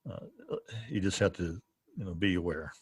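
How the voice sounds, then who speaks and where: A man's voice: low-pitched, lively intonation, normal speed, breathy edge, no echo. A man hosting a podcast, talking in a chatty, casual way and chuckling as he speaks. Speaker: podcast host. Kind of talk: casual conversational interview.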